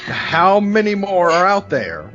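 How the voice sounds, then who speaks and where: A man's voice: sits high, big pitch swings, takes it slowly, music in the background, heavily acted. Man playing a folksy, urgent truck driver, dull recording. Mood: frantic, pressing. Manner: folksy, slangy, commanding. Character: tough, urgent truck driver taking charge under siege. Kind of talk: horror audio drama acting.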